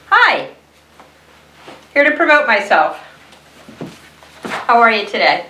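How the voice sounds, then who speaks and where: Sassy, self-promoting crayon for a storybook, played by a woman; wide pitch swings, roomy echo. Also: pushed and bright, a little hiss or hum, full character voice. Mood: perky, self-promoting. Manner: sassy, pointed. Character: perky, indignant, self-promoting pink crayon. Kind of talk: children's storybook read-aloud. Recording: roomy echo, distant mic.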